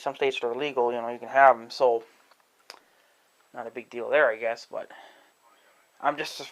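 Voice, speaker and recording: A man giving a casual, rambling demonstration to viewers, halting and thinking aloud as he talks. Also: mid-range voice, fairly bright tone, low-quality recording. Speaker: amateur video creator demonstrating an app. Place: indoors in own room at home.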